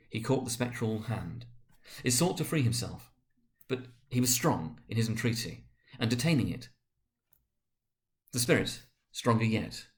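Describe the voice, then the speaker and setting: A man narrating a classic story as a dramatic reading, in a theatrical, expressive manner. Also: on the low side.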